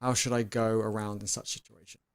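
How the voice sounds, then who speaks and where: A man hosting a live stream, chatting casually and acting out quotes with lively swings, often repeating words as he restarts. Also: lowish voice, brisk, clear, resonant tone.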